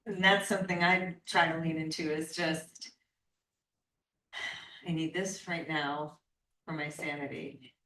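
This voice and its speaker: Low-voiced woman in a roomy room. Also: fairly even intonation, measured pace, pauses often, sharp tone. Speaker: conversation partner, baker and author. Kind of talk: casual conversation at a book event. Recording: roomy, distant from the mic.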